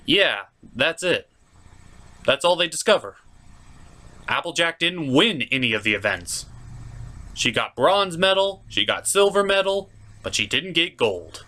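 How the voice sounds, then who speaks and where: Lively theatrical young man reviewing cartoons. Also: fairly high pitch, measured pace, pauses often. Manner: theatrical, jokey, opinionated. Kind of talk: comedic review with voice gags.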